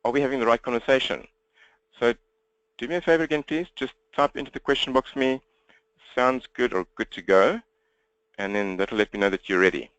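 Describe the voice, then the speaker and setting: A South African man leads an online training session as its presenter. His voice sits at a medium pitch and is recorded close and dry. He talks quickly and plainly, putting questions to his listeners to keep them involved. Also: fairly bright tone, dry recording, muffled recording. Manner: fast-talking, direct, engaging listeners with questions. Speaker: webinar presenter and coach for accountants. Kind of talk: interactive webinar presentation. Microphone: computer webinar microphone, presenter standing.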